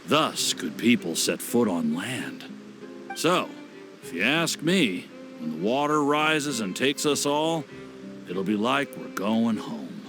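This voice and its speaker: An actor voicing a calm, dreamy man in a game cutscene, musing slowly. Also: medium pitch, music in the background. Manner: slow, musing, gravelly. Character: calm, dreamy man who is at peace with the flood. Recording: game score underneath.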